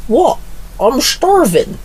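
A man doing a cocky teen sidekick, high-pitched. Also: very animated, takes it slowly, darkish tone, slightly rough, heavily acted, rough recording. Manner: cocky, grinning. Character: cocky teenage boy sidekick.

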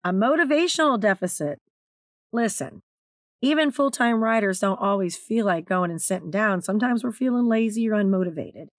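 A woman with a naturally raspy, slightly strained voice, hosting her own podcast in a candid, chatty way. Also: medium pitch, animated, moderate pace, no room sound, clean studio sound. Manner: candid, chatty, self-deprecating. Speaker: podcast host and author. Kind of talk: solo podcast talk.

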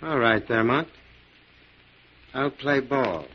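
Husky, slangy underworld moneylender. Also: male voice, medium pitch, lively intonation, takes it slowly, full character voice. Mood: dealing. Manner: blunt, slangy, hard-bargaining. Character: tough, wary underworld moneylender with slangy talk. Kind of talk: 1940s radio drama acting. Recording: muffled old 1940s radio recording.

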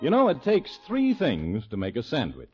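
A man's voice: medium pitch, huge pitch swings, moderate pace, faint music behind. An American man reading a chatty, scripted 1950s radio advertisement as a commercial announcer. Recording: muffled old radio broadcast.

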